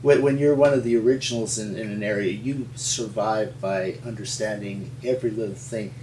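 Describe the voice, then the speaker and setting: A man's voice: lowish voice, steady tone, moderate pace, darkish tone, a little hiss or hum. A man, a paleontologist, telling folksy, rambling stories in an interview. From Montana. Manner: rambling, folksy, chuckling storyteller. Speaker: resident paleontologist. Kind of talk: storytelling interview. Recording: echoey room, mic at a distance.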